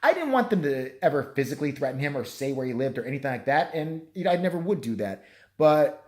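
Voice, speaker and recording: A tired man with a hoarse, nearly gone voice, rambling and swearing through a self-deprecating late-night vlog. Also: medium pitch, big pitch swings, fast, darkish tone. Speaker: vlogger.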